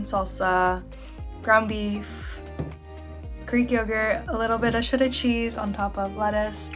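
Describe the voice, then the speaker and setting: A young woman narrating her day in an upbeat, chatty vlog, talking straight to her viewers. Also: medium pitch. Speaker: vlogger. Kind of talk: casual day-in-the-life narration.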